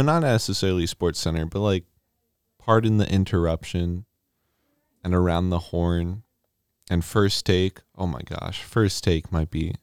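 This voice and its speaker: A man's voice: sits low, vocal fry, darkish tone, dry recording, studio quality. A man hosting a radio show, chatting casually in a rambling, joking way. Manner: rambling, joking, self-deprecating.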